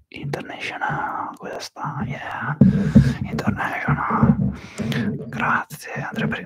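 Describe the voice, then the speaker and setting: A man whispering through a live ASMR stream, softly greeting viewers and slowly repeating their names into a close microphone. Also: no echo. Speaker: ASMR livestreamer.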